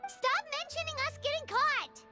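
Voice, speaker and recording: Squeaky little fairy companion, very high-pitched. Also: female voice, moderate pace, over loud music. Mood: indignant. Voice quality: squeaky, childlike. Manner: excitable, exclamatory, refers to self in third person. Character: tiny excitable floating fairy companion, childlike, indignant. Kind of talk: animated video game voice acting.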